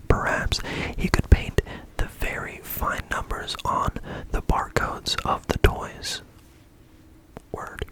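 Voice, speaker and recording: A storyteller reading a bedtime story in a soft whisper and adding dry asides. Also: poor audio.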